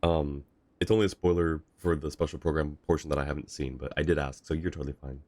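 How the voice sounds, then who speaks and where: Very low-voiced casual male game streamer. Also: fairly even intonation, fast talker, a little mellow, no echo. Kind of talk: casual livestream chat.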